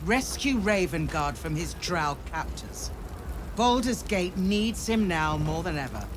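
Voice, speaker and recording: A grave, dutiful woman of authority in a fantasy game. She speaks formally, as one used to giving orders, with urgency under the words. Clear ambient noise runs behind her voice. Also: lowish voice, lively intonation, measured pace. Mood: grave, commanding. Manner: formal, commanding. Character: stern, dutiful female city councillor, urgent and grave. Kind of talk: video game dialogue acting.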